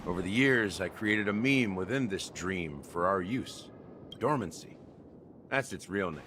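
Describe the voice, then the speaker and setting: A male actor playing a worldly veteran schemer in a video game, recounting old conspiracies in measured, expository lines over music. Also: deep voice, lively intonation, fairly bright tone, slight background noise. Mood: grave, ominous. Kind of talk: scripted video game dialogue.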